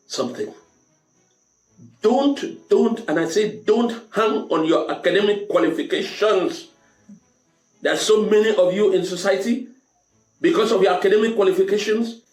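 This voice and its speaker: A man with a Cameroonian accent hosting a motivational advice talk, speaking directly to listeners in an emphatic, avuncular way and repeating key phrases. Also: on the high side. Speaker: storytelling show host giving advice. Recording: echoey room with music underneath. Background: music.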